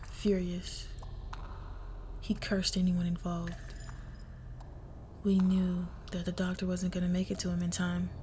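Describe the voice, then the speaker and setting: A woman playing a crime boss's cold, menacing young daughter in an intimate audio roleplay, speaking in a hushed, deliberate near-whisper. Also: on the low side, slight background noise, lightly acted. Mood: grim recounting. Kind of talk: intimate scripted audio roleplay, dramatic monologue.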